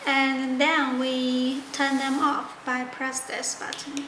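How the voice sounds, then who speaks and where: A high-voiced woman demonstrates a product step by step. She speaks slowly in halting, simple non-native phrasing. The recording has clear room echo. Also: level tone. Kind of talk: step-by-step tutorial narration. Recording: echoey room with loud background noise.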